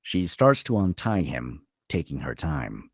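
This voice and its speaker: A man with an extremely deep voice narrates screenplay stage directions. His delivery is dramatic, with pitch that swings widely, and the sound is dry and close. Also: very animated, measured pace, no room sound.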